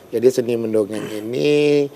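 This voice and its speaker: Gravelly, dark-voiced man, teacher and storyteller. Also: medium pitch, moderate pace, a little hiss or hum. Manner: hesitant, searching for words with many fillers. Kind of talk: explaining in a TV interview. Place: TV studio.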